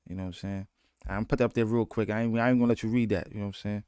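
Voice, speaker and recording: A man from the United States, co-hosting a casual boxing podcast in a relaxed, easygoing way and often adding "you know what I'm saying.". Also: on the low side, rapid-fire, crowd noise. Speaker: podcast co-host.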